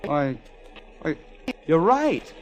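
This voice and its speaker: A man's voice: a little high, sing-song, very slow, loud music behind the voice, exaggerated voice, poor audio. An actor playing a polite, easygoing male army corporal in a 1940s radio drama. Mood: startled, dry. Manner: polite, obliging. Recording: vintage 1940s radio recording.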